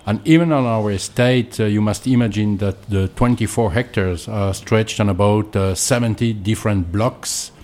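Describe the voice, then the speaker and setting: A man with a French accent, a winemaker being interviewed, explaining things in hesitant, deliberate non-native English. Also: on the low side, a little mellow. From Alsace, France.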